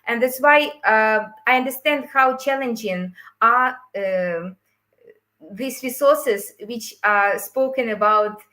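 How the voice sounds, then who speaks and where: A woman's voice: fairly high pitch, slow, bright, forceful tone. Woman presenting. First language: Ukrainian and Russian. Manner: hesitant, frequent fillers and restarts. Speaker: course creator presenting a webinar. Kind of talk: webinar talk.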